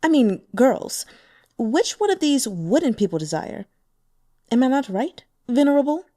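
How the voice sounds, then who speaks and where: A woman's voice: high-pitched, sing-song, a little slow, dark tone, dry recording, studio quality, pitched above the performer's own voice, full character voice. A woman voicing a flirtatious, scheming female demonic cultivator in a teasing, playful tone.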